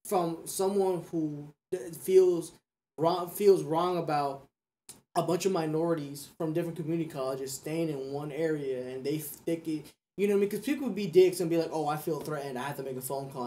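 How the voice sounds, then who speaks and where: Young adult man, slightly high voice, casual podcast chat, earnest, slangy, repetitive for emphasis. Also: dark tone. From United States. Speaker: podcast speaker.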